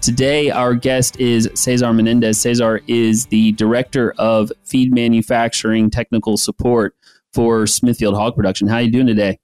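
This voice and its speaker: A man's voice: medium pitch, flat delivery, moderate pace, darkish tone, clean tone, dry recording, faint music behind. A man hosts a podcast interview in a mid-range voice. His questions are loose and rambling, with repeated words. The recording is dry and close. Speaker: podcast host. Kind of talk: casual interview hosting.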